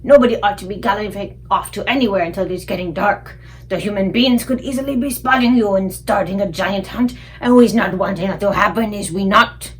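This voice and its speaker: Huge brutish male giant for a storybook, played by a woman: booming, crude, taunting, mangled grammar. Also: a little fast, slight background noise, lightly acted. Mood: menacing. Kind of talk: children's storybook read aloud.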